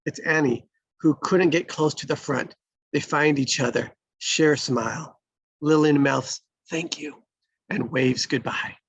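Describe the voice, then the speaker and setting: Male stage-directions reader for a screenplay table read, creaky and husky, close dry sound. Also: on the high side, measured pace, no room sound, muffled recording.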